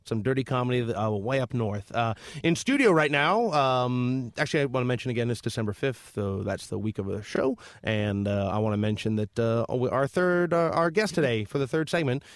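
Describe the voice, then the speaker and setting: A man hosting a radio talk show from a studio, rambling along with plenty of fillers and restarts. His pitch sits in an ordinary middle range. The sound is close and dry. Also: a little fast, few pauses, dry recording.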